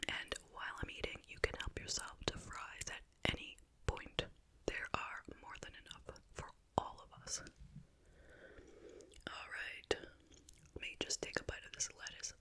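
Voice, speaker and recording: A woman hosting ASMR, chatting to viewers in a soft, unhurried, conversational whisper. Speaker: ASMR YouTuber, host. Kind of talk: whispered ASMR mukbang chit chat.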